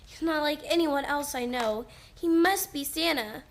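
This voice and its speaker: A woman's voice: high-pitched, a little slow, slight background noise. A woman playing an earnest, trusting little girl in a radio play. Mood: earnest, pleading.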